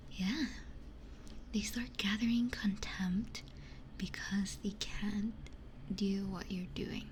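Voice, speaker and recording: A woman speaking in a soft, breathy whisper, chatting casually and reflectively as an ASMR streamer, close to a binaural microphone. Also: medium pitch. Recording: faint hiss and ambient noise.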